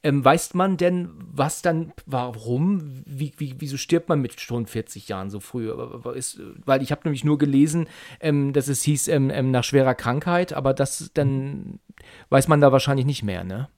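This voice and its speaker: A man's voice: medium pitch, normal speed, a little mellow, no echo, clean studio sound. A man interviewing in a casual, chatty way, rambling and often repeating phrases as he circles back. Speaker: podcast interviewer.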